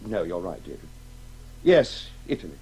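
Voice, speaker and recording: An actor playing a distracted, grieving middle-aged English husband with a halting delivery in a radio drama. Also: male voice, low voice, sing-song, dark tone, breathy edge, exaggerated voice, poor audio. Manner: absent-minded, halting. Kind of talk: 1980s radio play acting.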